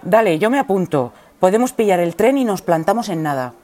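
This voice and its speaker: A woman acting in a radio drama as a scatterbrained, excitable young activist, with a Spanish accent from Spain and slangy, chatty delivery. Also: medium pitch, a little fast, heavy background noise, close to an everyday voice. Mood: eager.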